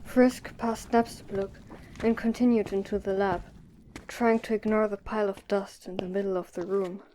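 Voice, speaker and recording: 여성 내레이터, 부드럽고 어두운 음색. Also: female voice, medium pitch, little pitch movement, normal speed, mellow, slight background noise, low-quality recording. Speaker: narrator. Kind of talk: scripted audio drama narration.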